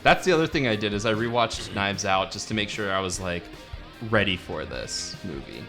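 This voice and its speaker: A man's voice: lowish voice, animated, normal speed, music in the background. A man co-hosting a casual movie review podcast, rambling and restarting his sentences, laughing often. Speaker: podcast co-host.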